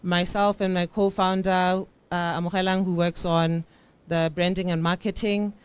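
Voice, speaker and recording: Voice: medium pitch, level tone, normal speed, clear, resonant tone. A young entrepreneur from Botswana presents their business at a conference, hesitating often with fillers. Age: young adult.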